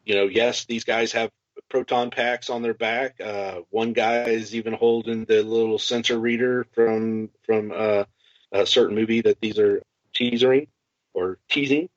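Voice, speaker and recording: A man's voice: fairly low pitch, fairly even intonation, a little slow, dry recording. A man hosting a podcast, chatting casually about a tabletop hobby in a conversational way. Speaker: podcast host. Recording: dull, lo-fi podcast audio.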